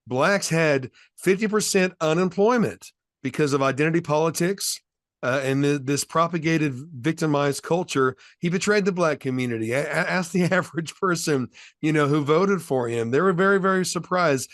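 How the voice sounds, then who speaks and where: A man's voice: on the high side, no echo. Guy hosting a political show, lots of stop-start, dead dry mic. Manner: halting, with many restarts and fillers. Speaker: show host.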